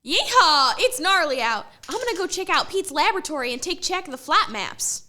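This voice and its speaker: A woman's voice: very high-pitched, big pitch swings, fairly quick, bright, forceful tone, faint music behind, pitched above the performer's own voice, heavily acted. A woman doing a bubbly yeehawing cowgirl pilot. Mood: excited. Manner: twangy yeehaw drawl.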